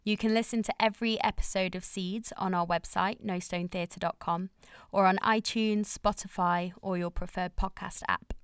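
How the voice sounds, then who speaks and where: Clear, dry-sounding woman reading an outro. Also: medium pitch, fairly even intonation, normal speed, no room sound. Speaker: theatre company host. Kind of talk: scripted promotional outro.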